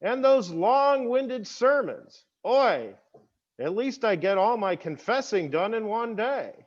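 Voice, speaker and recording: A man playing a self-important, kvetching fast-day holiday in a theatrical amateur comedy monologue. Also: high voice, sing-song, lo-fi sound, heavily acted. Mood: mock-pompous complaining. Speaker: rabbi. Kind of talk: scripted comic monologue, amateur readers' theater.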